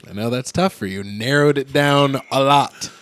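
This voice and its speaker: A man hosting a laid-back podcast chat. He teases and jokes with his guest and laughs often. His voice sits at an ordinary pitch. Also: normal speed, fairly bright tone, clear, resonant tone. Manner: joking, teasing, laughs often. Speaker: podcast host. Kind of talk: casual chat.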